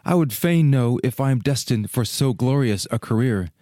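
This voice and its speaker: Man playing a restless young fir tree, animated pitch. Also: moderate pace, no room sound, clean studio sound, lightly acted. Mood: impatient longing. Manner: earnest, exclamatory, questioning. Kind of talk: dramatic storybook reading.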